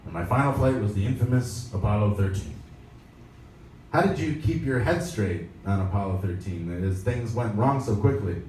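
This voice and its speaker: Guy reading an interview out loud at a live event, super echoey, mic kinda far, bit of noise. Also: lowish voice, moderate pace. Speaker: editor giving a reading. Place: indoor live pop-up event at a venue. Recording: echoey, noisy room, distant mic.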